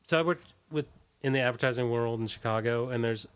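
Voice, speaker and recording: A man's voice: medium pitch, brisk, clear, resonant tone. A middle-aged man talking casually as an interview guest, hesitant and meandering, often trailing off. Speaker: interview guest, musician and advertising copywriter.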